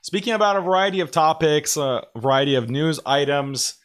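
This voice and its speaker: A man in his thirties, hoarse from a cold, hosting a casual livestream commentary show and talking to viewers with frequent pauses and religious phrases. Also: on the high side, expressive. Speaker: host.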